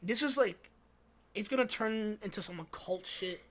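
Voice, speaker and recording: A man's voice: high-pitched, moderate pace, fairly bright tone. A young American man chatting casually as a podcast co-host, with slangy speech full of swearing. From United States. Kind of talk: casual chat.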